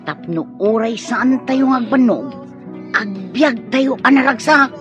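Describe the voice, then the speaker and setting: A man's voice: extremely high pitch, animated, slow, exaggerated voice. A man acting as a carefree young man who laughs and teases while luring a friend away from school in a radio drama. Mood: persuasive. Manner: teasing, laughing. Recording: dull audio with loud background music.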